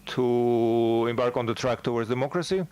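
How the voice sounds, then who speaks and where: A man delivering a formal academic lecture as a scholar, speaking in measured, halting phrases. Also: medium pitch, a little mellow, clear, resonant tone.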